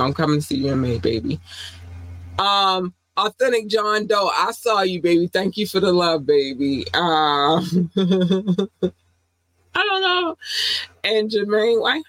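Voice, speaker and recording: A woman hosting her own podcast in a loose, rambling chat. She is warm-hearted and affectionate, laughs often, and her voice leaps up into excited squeals. The sound is dry and close, with no room echo. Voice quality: affectionate, calls listeners "baby", jumps into high squeals when excited. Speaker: podcast host. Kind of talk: casual solo podcast chat with shout-outs.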